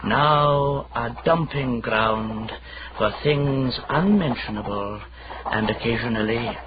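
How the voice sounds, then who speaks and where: An actor playing a wry poet narrator who recites verse about an old city in a halting, theatrical way. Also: male voice, medium pitch, lo-fi sound, background noise, natural voice. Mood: declamatory. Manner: halting, self-correcting, declamatory.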